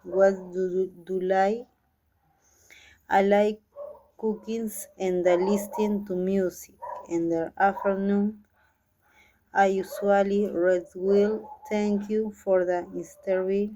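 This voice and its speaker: A young woman from Peru with a Peruvian Spanish accent, a Spanish speaker and language student, reading a practice dialogue aloud haltingly. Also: medium pitch, level tone, dark tone, slight background noise, poor audio. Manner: halting, reads haltingly with restarts.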